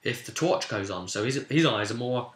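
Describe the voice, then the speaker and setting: A man with a bright, forceful voice of medium pitch recaps a tabletop fantasy campaign as game master. He tells it informally and with animation, slipping in casual asides. The recording has an echoey room sound. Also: lively intonation, normal speed.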